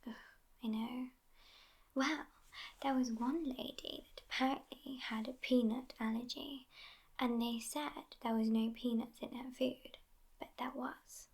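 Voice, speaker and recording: A woman acts out a haughty, sassy vampire girlfriend who has lived for centuries yet sounds youthful. She talks slowly and languidly in a hushed, near-whispered ASMR style. Her female voice sits a little high and often slips into fry. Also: fairly even intonation. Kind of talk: soft-spoken ASMR roleplay.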